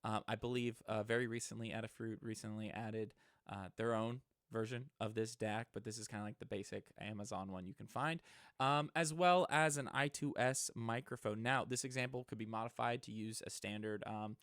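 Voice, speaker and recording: A man's voice: on the low side, steady tone, moderate pace, fairly bright tone, no room sound, studio quality. A man giving an informal, somewhat hesitant explanation in a casual tech demonstration. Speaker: presenter, electronics hobbyist. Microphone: close microphone into an audio interface.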